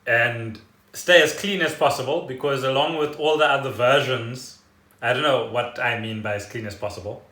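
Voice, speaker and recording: A man's voice: mid-range voice, normal speed, bright, forceful tone. A South African man co-hosting a podcast in casual chat, explaining things in a rambling way. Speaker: podcast co-host. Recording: echoey room, distant mic.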